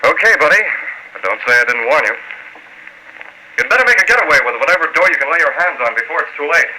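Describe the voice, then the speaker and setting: A man's voice: a little high, expressive, fast talker, sharp tone. A man playing a resolute, tough masked crime fighter in an old-time radio drama, terse and commanding. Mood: menacing. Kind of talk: 1940s radio acting. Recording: noisy old radio recording.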